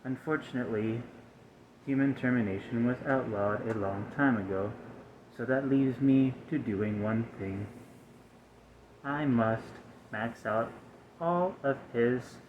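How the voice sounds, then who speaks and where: A man voicing a stiff, aggrieved, malfunctioning robot in a soft-spoken ASMR roleplay, with formal, halting, over-precise phrasing. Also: medium pitch, narrow, tinny audio, a little hiss or hum, natural voice.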